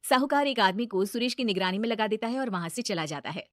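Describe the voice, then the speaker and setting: A woman's voice: medium pitch, lively intonation, fast, no echo, clean studio sound. A woman narrating a scripted moral story.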